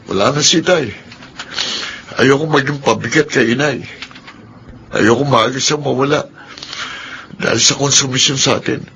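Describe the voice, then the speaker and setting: A man's voice: mid-range voice, normal speed, gravelly, long pauses, bright, forceful tone, slight background noise. A man playing an earnest, responsible young eldest brother in radio drama dialogue. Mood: earnest, resolute.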